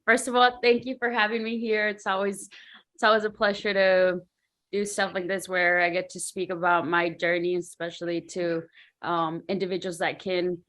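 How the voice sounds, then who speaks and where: A woman raised in Mexico speaking as a panel guest about her sports science work, relaxed with frequent fillers. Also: fairly low pitch. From Mexico, Ciudad Juarez. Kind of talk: casual talk about her career.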